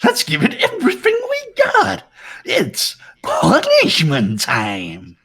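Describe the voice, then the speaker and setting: A man's voice: fairly high pitch, huge pitch swings, slow pace, gravelly, fairly bright tone, husky, voice pushed up, exaggerated voice. A man voicing a gleefully sadistic game mascot villain with a theatrical, taunting, sing-song delivery. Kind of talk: hobby voiced game playthrough.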